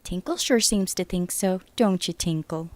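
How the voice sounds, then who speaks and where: A woman's voice: lowish voice, moderate pace, darkish tone, dry recording, close to an everyday voice. A woman playing a playful, teasing partner at home in an audio drama. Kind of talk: audio drama character dialogue.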